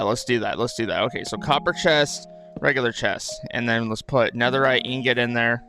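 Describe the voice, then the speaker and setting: Guy rambling thru a casual game video, dry morning throat, repeats himself, medium pitch, bit of music behind. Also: a bit bright. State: dry throat, just woke up. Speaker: gaming video host.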